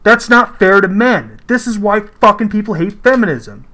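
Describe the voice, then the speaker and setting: A man with a high-pitched voice gives casual reaction commentary. He is blunt and argumentative, swearing freely as he pushes his opinions. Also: big pitch swings, normal speed, pushed and bright.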